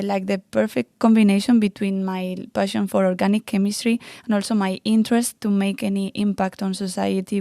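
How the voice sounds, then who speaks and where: A woman in her thirties from Spain with a Spanish accent, answering interview questions about her research with many pauses and fillers. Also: mid-range voice, gravelly, darkish tone, no echo, clean studio sound. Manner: hesitant, many fillers and restarts. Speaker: postdoctoral researcher.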